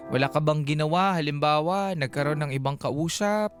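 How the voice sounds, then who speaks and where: A man's voice: a little high, normal speed. A man co-hosting a radio advice show, casual and inquisitive in conversation. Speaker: radio co-host. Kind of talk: casual phone-in advice chat. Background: faint music.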